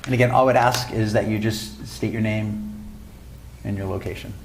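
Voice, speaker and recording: A man chairs a small-town council meeting with a low, even voice that barely rises or falls. He talks in an earnest, informal way and circles back to repeat himself. Faint room noise sits behind him. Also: normal speed, gravelly, low-quality recording. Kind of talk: public meeting remarks.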